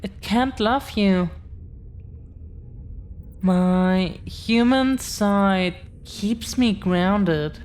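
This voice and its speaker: A woman playing a tender, devoted young dragon-descended girlfriend in intimate roleplay audio, speaking haltingly and earnestly, repeating phrases. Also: medium pitch, a little mellow. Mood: solemn, confiding.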